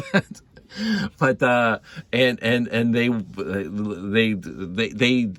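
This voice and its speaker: A middle-aged man telling nostalgic stories casually, rambling and halting, repeating words and often given to chuckling. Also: fairly low pitch, a bit bright, no echo. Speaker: hobby video creator. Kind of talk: casual rambling storytelling to camera.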